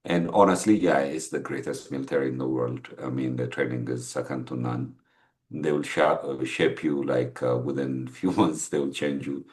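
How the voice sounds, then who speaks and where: A middle-aged man with an Ethiopian accent, talking casually in an interview in a halting, reflective, conversational way. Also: extremely low pitch, steady tone, darkish tone. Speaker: podcast guest, entrepreneur. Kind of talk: casual interview, telling life story.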